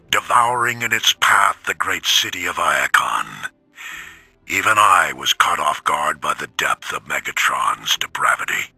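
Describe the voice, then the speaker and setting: A man's voice: pushed and bright, no room sound, exaggerated voice, low-quality recording. A man voicing an old, eloquent robot elder, stately and mournful, in a theatrical video game audio log. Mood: regretful, grave. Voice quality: deep, gravelly.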